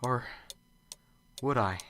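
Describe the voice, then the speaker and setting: Casting: man as an anxious, stammering professor in a radio drama, bright, tense voice, faint noise behind. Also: medium pitch, slow. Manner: anxious, stammering, talks to himself. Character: nervous, unsure male professor.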